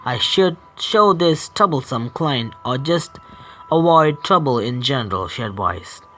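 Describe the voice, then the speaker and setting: Slow, lively man reading game text. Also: medium pitch, a little hiss or hum. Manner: halting reading with stumbles and self-corrections. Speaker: streamer. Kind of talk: reading game narration aloud.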